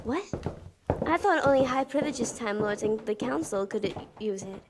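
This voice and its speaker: Woman acting a high-voiced, defiant Time Lord agent. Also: expressive, moderate pace, background noise, natural voice. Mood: puzzled. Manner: impatient, sarcastic, insolent. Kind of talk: sci-fi audio drama acting.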